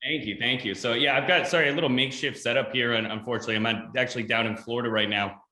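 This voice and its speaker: Guy from ontario hosting a podcast, eager n rambly, bright voice, echoey room. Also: mid-range voice, steady tone, rapid-fire. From Ontario, Canada. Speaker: podcast host. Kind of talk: casual interview chat. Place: games room in a rented house.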